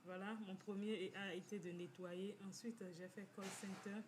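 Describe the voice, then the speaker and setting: Woman, halting delivery. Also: mid-range voice, fairly even intonation, background noise. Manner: repetitive, halting with many restarts. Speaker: community finance speaker. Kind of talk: personal testimony talk. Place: living in the United States.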